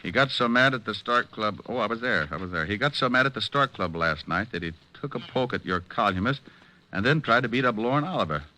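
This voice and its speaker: An actor playing a cocky, sardonic American private detective in an old-time radio drama, wisecracking and hot-tempered. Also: male voice, on the low side, expressive, fairly quick, bright, forceful tone, low-quality recording. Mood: dry, pressing. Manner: sardonic, flippant.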